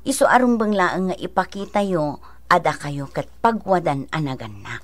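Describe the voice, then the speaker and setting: An actress playing a sensible mother and elder sister who gives gently admonishing advice in a radio drama. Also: fairly low pitch, expressive, moderate pace, natural voice. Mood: patient advice. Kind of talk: Ilocano radio drama acting.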